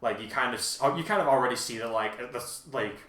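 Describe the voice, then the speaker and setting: A man hosting a casual fan discussion, talking in a rambling, halting way and often correcting himself mid-thought. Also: mid-range voice, pushed and bright, far from the mic. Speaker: host and fan fiction author. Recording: echoey untreated room.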